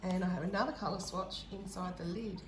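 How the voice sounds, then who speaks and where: A woman with a croaky voice, chatting casually and eagerly about art supplies as she unboxes them, with the odd um. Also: fairly low pitch, fairly even intonation, brisk, background noise. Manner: chatty, enthusiastic, thinking aloud with ums. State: croaky voice, clearing throat. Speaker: art vlogger.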